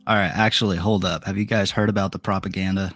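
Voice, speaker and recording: A man co-hosting a casual podcast, speaking in a stone-cold monotone, deadpan way. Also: low voice, quick pace, faint music behind. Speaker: podcast co-host. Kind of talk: casual chat.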